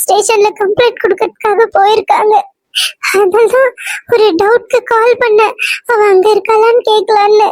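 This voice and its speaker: Woman doing a scared young woman sobbing on the phone, super high voice, dry mic. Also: fairly even intonation, moderate pace, darkish tone, no echo. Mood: tearful panic. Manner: tearful, pleading. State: crying.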